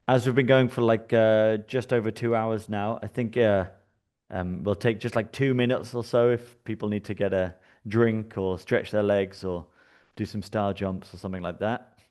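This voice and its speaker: A man from the UK with a British accent, presenting a live software workshop in a relaxed, chatty way, with many fillers and restarts. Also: sits low. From United Kingdom.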